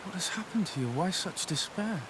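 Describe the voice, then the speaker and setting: An actor playing a courteous, confident noble envoy in a video game, formal and eager to help. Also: male voice, sits high, lively intonation, normal speed, noisy background. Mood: concerned. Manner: polite, crisp, formal. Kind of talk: video game cutscene acting.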